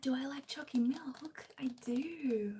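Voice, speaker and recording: A woman with an Australian accent chatting gently in a hushed, breathy, near-whispered ASMR live stream style. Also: high-pitched, slow, a little hiss or hum. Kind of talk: soft-spoken ASMR live chat with viewers.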